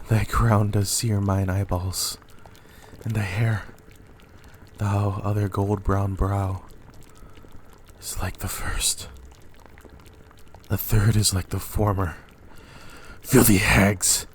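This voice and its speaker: A low-voiced, tormented tyrant king, played by a man, imperious and ruthless. He declaims Shakespearean verse slowly and weightily, with faint noise and effects behind him. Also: level tone. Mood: horrified. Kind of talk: dramatic Shakespeare reading.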